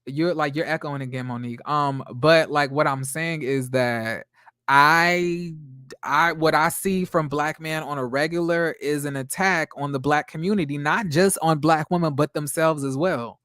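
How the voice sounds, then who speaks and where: A young man with a high voice hosts a loose group debate over a video call. He stumbles and restarts his sentences, leaning on fillers. The sound is close and dry, with no room echo. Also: normal speed, a bit bright, smooth. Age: 20s. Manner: hesitant, repeats words, filler-heavy. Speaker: host. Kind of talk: casual debate chat.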